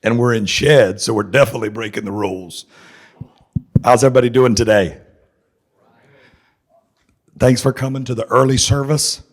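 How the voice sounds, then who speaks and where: Raspy man, middle-aged, hoarse, folksy conference speaker, handheld mic. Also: mid-range voice, moderate pace, long pauses. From North Carolina. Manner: folksy, joking, chatty. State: hoarse with laryngitis. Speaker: seminar speaker. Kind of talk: conference seminar talk. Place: conference hall.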